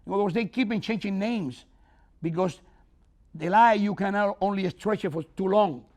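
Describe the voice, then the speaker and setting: An older man giving a closing speech to an audience as an author. He speaks in an earnest, preaching way, with simple phrasing and big swings between loud and soft. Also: high voice, moderate pace.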